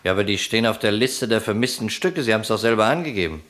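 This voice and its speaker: Low-voiced, dryly sceptical police detective. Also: male voice, animated, quick pace, fairly bright tone. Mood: probing, controlled. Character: male police detective, matter-of-fact, persistent, dryly sceptical. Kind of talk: German crime radio play acting.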